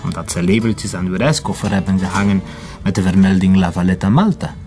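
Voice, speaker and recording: An actor playing a menacing militant in an audio drama, taunting and deliberate. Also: male voice, low-pitched, darkish tone, faint music behind. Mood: quietly menacing. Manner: taunting, deliberate, switching between Dutch and Spanish. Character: menacing Basque militant, interrogating man.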